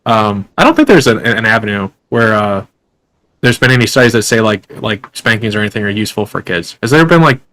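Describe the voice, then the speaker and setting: Fast-talking young man, rambling host. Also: lowish voice, animated, a bit bright. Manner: rambling, many fillers and restarts. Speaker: podcast host. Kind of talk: casual interview.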